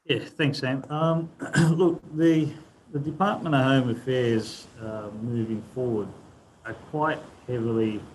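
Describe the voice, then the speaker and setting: A man gives a panel answer in an explanatory, methodical way. His voice sits at an ordinary pitch for a man. A faint hiss runs under the recording. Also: darkish tone, rough recording. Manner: explanatory, frequent tag "right?".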